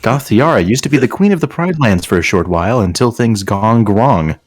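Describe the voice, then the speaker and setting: A man's voice: fairly low pitch, lively intonation, moderate pace, no room sound, clean studio sound. A man doing a casual read-aloud for friends, breaking off into joking, sarcastic asides. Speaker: host reading fan fiction aloud with friends.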